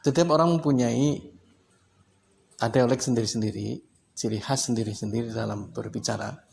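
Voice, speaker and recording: A man from Indonesia gives a relaxed spoken lesson, breaking his points into short, unhurried phrases. His voice is gravelly, with a middle pitch and a faint hiss behind it. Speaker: English teacher. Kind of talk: casual explanatory lesson.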